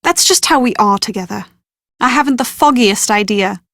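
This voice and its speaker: An actress playing a chatty, anxious young British woman in a video game, with a posh, expressive and wordy way of talking. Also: medium pitch, normal speed, dry recording, clean studio sound, close to an everyday voice. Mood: wry, brisk. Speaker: voice actor in an adventure game. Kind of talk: scripted video game character dialogue.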